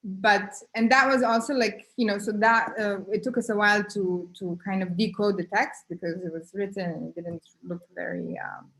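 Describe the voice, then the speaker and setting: A woman artist telling a story in a casual conversation, halting often with fillers, over a video call. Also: mid-range voice, fairly even intonation, vocal fry, fairly bright tone, rough recording. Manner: halting, many "you know, like" fillers. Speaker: guest artist.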